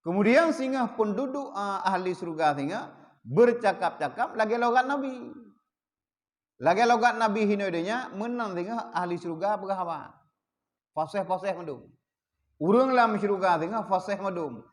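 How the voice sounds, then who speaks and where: A man with an Acehnese accent leads a religious study session, his fairly high voice rising and falling with lively energy. He slows down to chant Arabic passages, then breaks into quick explanation that keeps checking in with the room. The sound carries a long hall reverb. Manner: mixes plain explanation with slow chanted Arabic readings; asks the audience rhetorical questions. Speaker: religious teacher. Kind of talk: kitab study lesson. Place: prayer hall.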